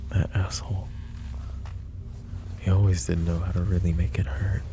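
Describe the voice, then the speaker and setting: Man voicing a gravelly, possessive mafia boss. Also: level tone, background noise. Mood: bitter. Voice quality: deep, gravelly voice. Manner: low, measured, quietly menacing. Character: possessive, protective adult male mafia boss. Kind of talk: ASMR roleplay audio drama.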